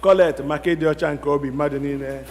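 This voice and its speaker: A man's voice: a little high, fairly even intonation, rapid-fire, a loud crowd. A man with an Igbo accent leading a church service, calling out page numbers in Igbo and English. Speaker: worship leader. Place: church sanctuary. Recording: reverberant church hall with loud background noise.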